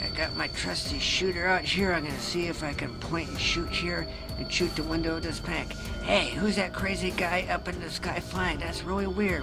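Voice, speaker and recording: Clumsy, nervous bank robber brothers who mumble low and get jittery at the first sign of trouble, as a man puts them on. The voice is gravelly and slow, and music plays clearly behind it. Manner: jittery and scared.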